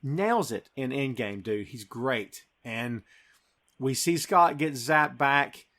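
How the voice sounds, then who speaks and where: A man's voice: medium pitch, expressive, takes it slowly, a bit bright. A man hosting a podcast, eagerly recapping a film scene by scene in casual chat. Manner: enthusiastic, recapping scenes with a laugh. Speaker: podcast host.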